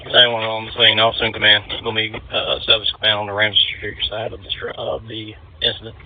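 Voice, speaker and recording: Over a narrow, tinny two-way radio channel comes a man's lowish voice. He commands a fire scene, answering in terse, procedural phrases. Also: completely flat delivery, brisk, a little hiss or hum. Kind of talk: terse emergency radio dispatch traffic.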